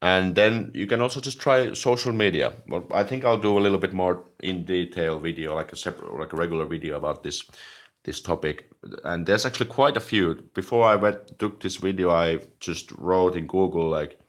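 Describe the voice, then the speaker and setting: A man from Finland, talking casually on a live stream and answering viewer comments as a language-learning host, with a relaxed, chatty manner and frequent "like" fillers. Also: low voice, brisk.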